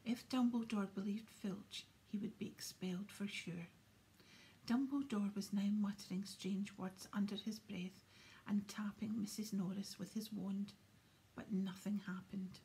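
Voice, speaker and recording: A woman reading a story aloud in a soft, unvoiced whisper. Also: medium pitch, fairly even intonation, a little slow, slight background noise, rough recording. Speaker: audiobook reader.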